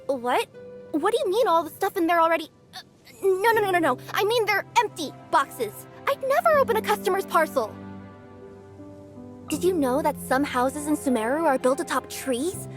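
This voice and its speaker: An actress voicing a cheerful young female cat-yokai courier for a video game, bubbly and bashful, quick to fluster. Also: very high-pitched, expressive, over music. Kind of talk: video game character voice lines.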